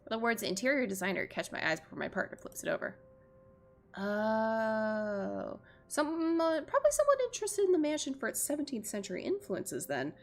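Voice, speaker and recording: A woman's voice: on the high side, sing-song, normal speed. A woman streamer reading visual novel narration aloud and adding chatty, self-deprecating reactions, with game music behind her.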